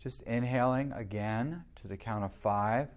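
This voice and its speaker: A man leading a guided meditation as a teacher, speaking in a soothing, unhurried way with long pauses. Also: mid-range voice.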